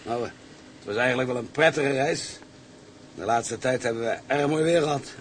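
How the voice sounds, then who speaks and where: An actor playing a shy, good-natured fat ship's engineer who talks hesitantly in a radio play. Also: male voice, mid-range voice, a little hiss or hum, close to an everyday voice. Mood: bashful. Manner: hesitant, halting. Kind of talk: dramatised radio play acting.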